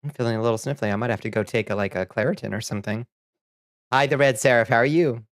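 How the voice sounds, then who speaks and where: A man in a relaxed stream chat, rambling through stories between reading viewer messages. His voice sits at a middling pitch with easy, frequent laughs and a slightly sniffly edge. The sound is dry and close, with no room around it. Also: clean tone, dry recording. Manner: rambling, chatty, quick laughs, reads chat aloud. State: a little sniffly. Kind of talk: casual chat while gaming.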